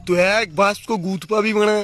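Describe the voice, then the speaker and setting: A man's voice: sits high, little pitch movement, fairly quick, a bit bright. A man voicing a foul-mouthed, irritable cartoon bully in a crude comic parody dub, ranting with a Bengali accent. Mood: coaxing. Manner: ranting, heavy swearing.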